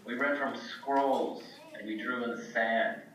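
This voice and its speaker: A man's voice: medium pitch, fairly even intonation, unhurried, natural voice. A man acting an eager, boastful ancient Greek scientist puppet in a children's show, pompous and theatrical. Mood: fascinated. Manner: pompous, theatrical, self-admiring. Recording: echoey room, far from mic, faint noise.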